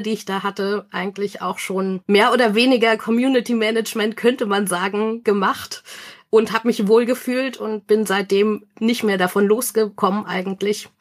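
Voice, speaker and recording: Friendly woman with a mid-range voice. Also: mid-range voice. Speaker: interview guest, online community organizer. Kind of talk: informal explanatory interview answers.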